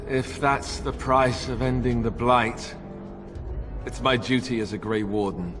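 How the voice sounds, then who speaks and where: An actor playing a reluctant young warrior newly made king in a video game, halting, earnest and self-deprecating. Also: mid-range voice, fairly even intonation, music in the background, close to an everyday voice. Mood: uneasy, hesitant. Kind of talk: video game cutscene acting.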